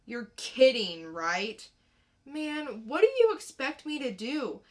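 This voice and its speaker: A woman's voice: on the high side, big pitch swings, takes it slowly. A woman acting a defiant, streetwise teenage boy, guarded and slangy, in a dramatic comic-book reading. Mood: defensive, incredulous.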